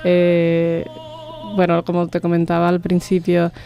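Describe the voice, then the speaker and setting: A woman from Cuba, a viola da gamba player speaking haltingly as a guest in an interview, with many restarts. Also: fairly low pitch, monotone, gravelly, warm tone, faint music behind. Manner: hesitant, many restarts and fillers. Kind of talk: radio interview.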